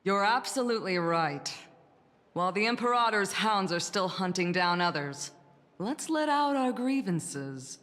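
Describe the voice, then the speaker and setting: A sly, coaxing character in a fantasy game. She speaks slowly at a medium pitch, with long reverb around her. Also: expressive, a bit bright. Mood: slyly agreeable. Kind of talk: fantasy video game voice acting.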